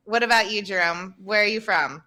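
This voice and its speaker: A woman, hosting a podcast and explaining English pronunciation clearly over a video call. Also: mid-range voice, brisk, sharp tone. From Tennessee, United States. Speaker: podcast host and English teacher. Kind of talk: casual teaching chat. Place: home room, indoors. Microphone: video call, webcam.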